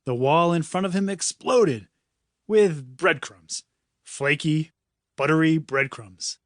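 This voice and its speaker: A man's voice: a little high, takes it slowly, a bit bright, no room sound, clean studio sound. A man reading a children's audiobook in a dramatic, playful storytelling manner. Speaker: author reading his own audiobook. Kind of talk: scripted children's story narration.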